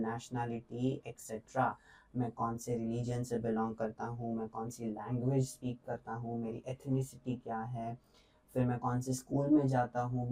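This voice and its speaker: Unhurried young male teacher, mellow and flat delivery, a little off-mic; repeats key points and checks in. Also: medium pitch, far from the mic, rough recording. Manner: explains in mixed Hindi and English, repeats points, checks in often. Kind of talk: exam revision lecture.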